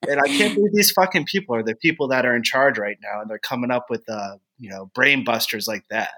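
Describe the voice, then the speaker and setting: A man's voice: mid-range voice, fast, bright, forceful tone. A man who is a guest on a casual podcast, laid-back and sarcastic, sounding a little stuffed up, swearing freely. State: stuffed up from pollen.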